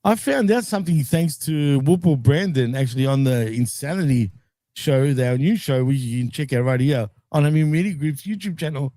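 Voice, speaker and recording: A man co-hosting a casual podcast, laid-back and quick to laugh, swearing casually as he chats. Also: a little high, warm tone, no echo. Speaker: co-host. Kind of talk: casual chat.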